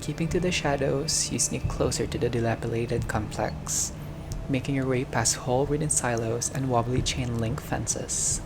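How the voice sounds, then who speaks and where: A man's voice: mid-range voice, normal speed, over music. A man doing a game playthrough, reading narration aloud and dropping in casual reactions. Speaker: gamer playing a visual novel.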